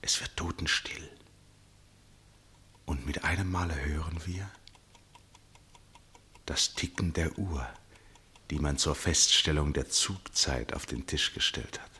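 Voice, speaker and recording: An actor playing an absorbed first-person narrator in a literary radio play, measured and suspenseful, with deliberate pauses and a husky voice. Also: male voice, extremely low pitch, bright, forceful tone, whispered, full character voice. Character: absorbed male first-person narrator watching a tense match.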